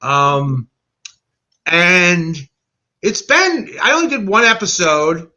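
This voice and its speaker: An American man with a high, very bright and forceful voice. He chats casually, telling stories and voicing the quotes as he goes. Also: takes it slowly. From United States. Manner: animated storyteller who acts out quotes. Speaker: guest, animator. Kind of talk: casual anecdotal chat.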